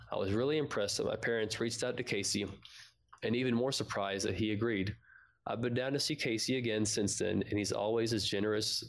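A man's voice: lowish voice, creaky. A man co-hosting a fan podcast, chatting casually and at times reading aloud. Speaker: podcast co-host.

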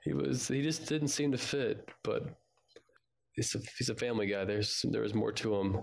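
A man's voice: mid-range voice, moderate pace, vocal fry. A man from Texas chatting informally as co-host of a fan podcast about an audio drama. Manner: informal, conversational.

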